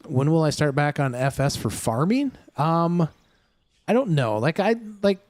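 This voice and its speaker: A man's voice: on the high side, animated, measured pace, a little mellow, no room sound. A man hosting a casual gaming livestream, talking to his viewers in a rambling way with many fillers and restarts. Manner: chatty, talks straight to chat viewers.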